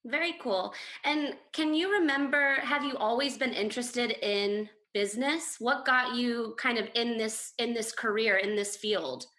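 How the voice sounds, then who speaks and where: A woman's voice: a little high, unhurried, few pauses, bright, forceful tone. A woman hosting an interview, asking questions and giving short encouraging replies. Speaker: interviewer host. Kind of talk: casual interview.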